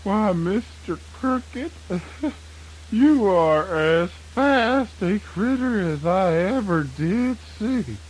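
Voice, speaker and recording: A man voicing a boastful, gullible, easily flustered fox in a children's radio folk tale, with a husky, folksy Southern US delivery. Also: high voice, animated, heavily acted, poor audio. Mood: sheepish. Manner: folksy, chuckling, drawn-out delivery.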